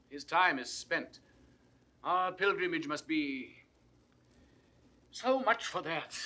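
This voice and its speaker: Haughty monarch, very sharp high voice, imperious classical verse, petulant and cold. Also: male voice, exaggerated voice. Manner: imperious, crisp declamation. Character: haughty, petulant, callous king. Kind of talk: classical Shakespearean verse acting, 1960s audio drama.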